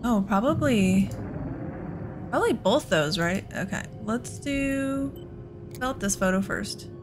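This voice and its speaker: A woman's voice: fairly high pitch, lively intonation, measured pace, music in the background. A woman giving casual let's play commentary on a video game, rambling and thinking aloud as she goes.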